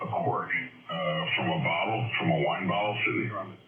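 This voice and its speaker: A man's voice: low-pitched, fairly even intonation, brisk. A man chatting casually over two-way radio, joking in a crude, easygoing banter. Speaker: amateur radio operator. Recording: narrow radio signal, echoey, loud music behind.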